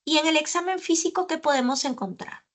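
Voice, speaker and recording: A woman pulmonologist giving a medical lecture, explaining carefully and often pausing on filler sounds and tag questions. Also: high-pitched. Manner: didactic, with frequent "¿no?" tags and "eh" fillers.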